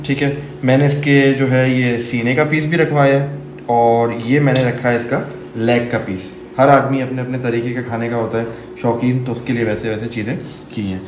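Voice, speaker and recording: A man's voice: medium pitch, flat delivery, moderate pace. Chatty man in an echoey home kitchen. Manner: chatty, explains each step, sprinkles English phrases and Islamic blessings. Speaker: cooking vlogger. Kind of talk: casual cooking vlog to camera. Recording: echoey kitchen with background noise.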